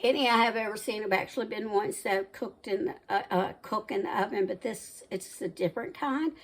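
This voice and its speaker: A woman's voice: mid-range voice, fairly quick. A woman in her sixties from the American South, with a Southern accent mixed with Midwestern, hosting a home cooking channel and rambling chattily between side stories. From Southern United States. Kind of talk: casual chatty cooking vlog talk.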